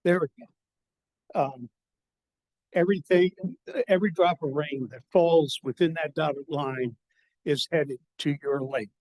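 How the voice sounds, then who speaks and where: An older man presents at a seminar, talking in a folksy, halting way. His voice sits a little high for a man. He is speaking into a handheld mic in a lecture hall. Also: darkish tone. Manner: folksy, halting, self-deprecating with slides. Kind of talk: casual conference presentation. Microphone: handheld mic, held low at times.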